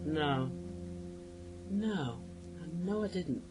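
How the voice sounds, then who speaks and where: A man's voice: a little high, animated, extremely slow, background noise. An actor playing an earnest, clever young male time-traveller in a sci-fi radio drama, speaking precisely. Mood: puzzled. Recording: music under the voice.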